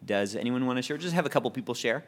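A man's voice: medium pitch, lively intonation, very fast. A man leading a church Bible study class, reading scripture aloud and inviting people to share, often repeating words as he restarts.

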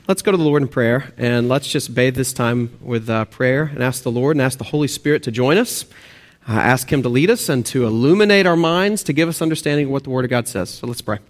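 A man's voice: medium pitch, fairly quick. A young adult man with a Texan accent, preaching a sermon as a student pastor in church, earnest and dotted with "uh" fillers. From Texas and Oklahoma. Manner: earnest, many "uh" fillers, rising emphasis on key points. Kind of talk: sermon with prayer. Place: church sanctuary.

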